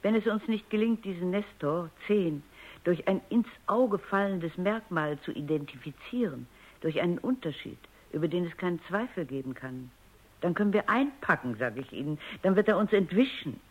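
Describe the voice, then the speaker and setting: A dull-sounding radio drama recording of an exacting woman robot psychologist. Her pitch moves widely as she speaks with precise, insistent phrasing, sounding firm and serious. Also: expressive, moderate pace. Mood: insistent, worried. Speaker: lead actor and first-person narrator. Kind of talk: radio drama acting and narration.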